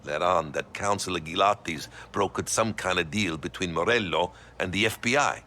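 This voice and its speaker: An actor playing a nervous cab driver who passes information to a mobster, in conversational video game dialogue. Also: male voice, deep voice, moderate pace, sharp tone, slight background noise. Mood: confiding.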